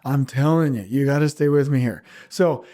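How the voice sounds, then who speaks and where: A man's voice: medium pitch, moderate pace, warm tone. A man hosting his own podcast alone from a small backyard shed, talking in a giddy, rambling way and laughing often, his voice just recovered. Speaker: podcast host. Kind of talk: casual solo podcast talk.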